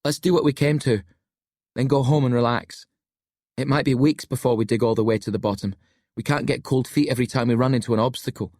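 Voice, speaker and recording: A cocky storybook boy played by a narrator, pitched up above normal speech. He is mocking and grouchy, always ready with a taunt, and sounds close and dry. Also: fairly even intonation, fast talker, darkish tone, no room sound, natural voice. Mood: confident.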